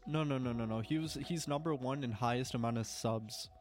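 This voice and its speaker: A man's voice: mid-range voice, a little fast, faint music behind. A young man with a cold, congested, chatting casually as a podcast co-host and rambling with lots of filler words. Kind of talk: casual chat.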